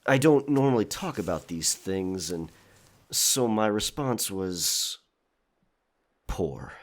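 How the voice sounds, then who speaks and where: A brooding pirate played by a man with a low voice. He is reserved and halting, guarding a hidden past, in the manner of an intimate audio drama. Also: animated. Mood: halting, apologetic.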